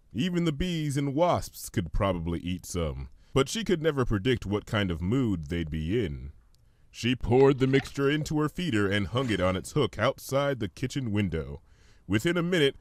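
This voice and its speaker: A man reading a story aloud as scripted, expressive narration. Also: on the low side. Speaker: fan fiction reader.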